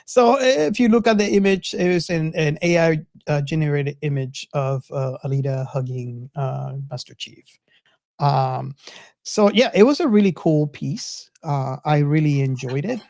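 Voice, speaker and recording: A podcast host talking casually to listeners and co-hosts, haltingly and searching for words. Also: mid-range voice, creaky, darkish tone, dry recording, clean studio sound.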